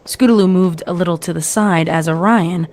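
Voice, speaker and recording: A woman's voice: on the low side, moderate pace, darkish tone. A woman reading scripted narration of a fan-written horror story.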